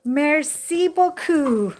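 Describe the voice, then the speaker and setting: A talkative young woman chatting with her viewers on a live stream, recorded on the phone she holds in her hand. Her voice sits a little high, and she rambles easily, full of casual fillers. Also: expressive, extremely slow. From Jamaica. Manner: chatty, rambling, frequent "like" and "you know". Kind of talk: casual live chat reading viewer comments. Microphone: phone held in hand.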